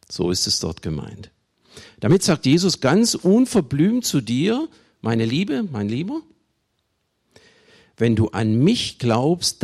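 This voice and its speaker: A man from Germany preaching a sermon, with emphatic delivery, deliberate pauses and conversational asides to his listeners. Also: medium pitch, slightly rough. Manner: emphatic, with dramatic slow phrasing and pauses. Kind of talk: sermon to a congregation.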